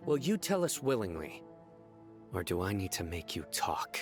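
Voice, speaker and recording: A man playing a stern, composed investigator in a video game, speaking in a measured, formal, commanding way. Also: deep voice, sing-song, over music. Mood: stern, interrogating. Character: stern, composed male investigator, feared and authoritative.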